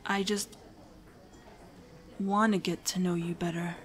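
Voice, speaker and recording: A woman's voice: lowish voice, steady tone, takes it slowly. Shy, stammering teen hero-in-training acted by a woman. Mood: nervous, hesitant. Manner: hesitant, stammering, apologetic, prone to anxious rambling. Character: shy, nervous teenage hero-in-training confessing a crush. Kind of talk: romantic audio roleplay addressed to the listener.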